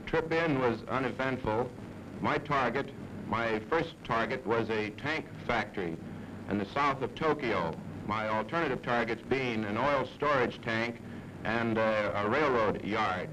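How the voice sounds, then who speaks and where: A man's voice: medium pitch, a little slow. An American man in his thirties, a military pilot giving a matter-of-fact press account on a 1940s newsreel. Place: indoor conference room. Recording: noisy old 1940s newsreel sound.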